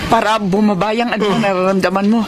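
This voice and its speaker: A woman's voice: medium pitch, fairly even intonation, quick pace, a little hiss or hum, lightly acted. A woman playing a weary, caring middle-aged wife and mother in a Filipino radio drama. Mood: worried, soothing.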